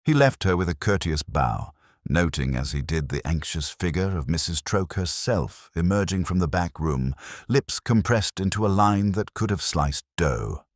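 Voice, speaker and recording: A man narrating a mystery story in a measured, literary manner. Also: sits low, lively intonation, no room sound, studio quality.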